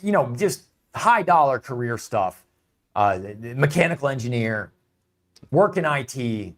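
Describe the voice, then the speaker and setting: A man's voice: medium pitch, lively intonation, unhurried, fairly bright tone. A man ranting in his own online video, mocking and piling up exaggerated warnings of doom. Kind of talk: ranting monologue.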